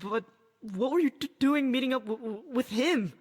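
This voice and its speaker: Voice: mid-range voice, normal speed, lightly acted. An actor playing a nervous, stammering young sidekick in a fantasy game, hesitant and anxious, in long reverb. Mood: flustered, doubtful. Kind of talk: voiced fantasy game dialogue. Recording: long reverb, faint background noise.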